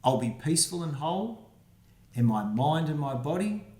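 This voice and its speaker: A man, the book's author, reading his rhyming picture book aloud for children, with quiet asides about the pictures. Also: fairly high pitch, very animated, moderate pace. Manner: friendly, reads rhyming verse with quiet asides. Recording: roomy echo.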